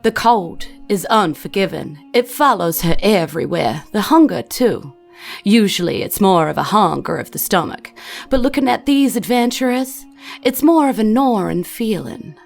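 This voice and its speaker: Creaky-voiced New Zealand woman narrating a game. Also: medium pitch, expressive, moderate pace. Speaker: game master. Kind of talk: narrating a tabletop roleplaying game. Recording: music under the voice.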